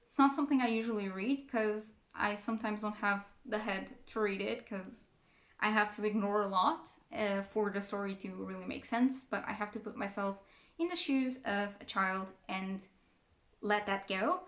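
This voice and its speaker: A woman's voice: medium pitch, normal speed, fairly bright tone. Young woman from Portugal in an echoey room. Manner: chatty, thinking aloud with fillers and restarts. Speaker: book vlogger. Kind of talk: casual reading-stats chat to camera.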